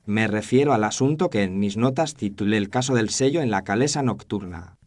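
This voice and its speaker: A man's voice: lowish voice, a little fast, a little mellow, no room sound. A man narrating a scripted first-person detective story in a formal, literary storytelling manner.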